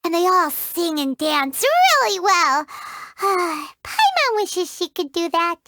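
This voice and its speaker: A woman voicing a tiny, excitable, greedy female fairy companion in a game who talks about herself in the third person. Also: very high-pitched, slow pace, dry recording, studio quality, exaggerated voice. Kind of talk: game voice acting.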